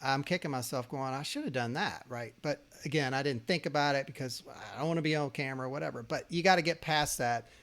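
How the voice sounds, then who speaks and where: A man's voice: mid-range voice, fast talker, hardly any pauses, a bit bright. A man hosting a podcast, chatting in a casual, enthusiastic way and talking about his own experience. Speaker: podcast host. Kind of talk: casual interview chat.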